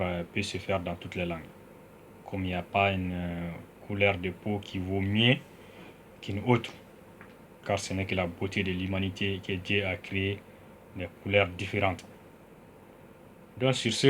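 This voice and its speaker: A man from Mali giving a political address to his people, with plenty of hesitations, who starts slow and measured and then speaks louder and more heated. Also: low voice, a little hiss or hum. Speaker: citizen activist.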